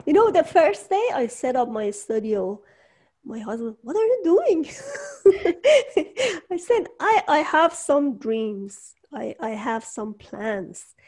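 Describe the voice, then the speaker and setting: Slow-talking animated woman, English as second language. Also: a little high. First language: not English. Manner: animated, laughs often, acts out quoted voices. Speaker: podcast host and entrepreneur. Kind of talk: casual conversation.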